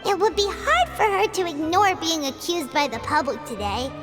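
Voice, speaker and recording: A woman voicing a small cheerful know-it-all fairy companion with a squeaky, childlike voice, in an English game dub. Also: sing-song, normal speed, over music. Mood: earnest. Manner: childlike, squeaky, speaks of herself in third person.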